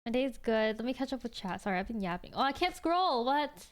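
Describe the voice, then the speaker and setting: A woman's voice: a little high, very animated, a little fast. A woman in her twenties hosting a livestream, chatting casually with viewers in a rambling way full of "like". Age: 20s. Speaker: livestream host.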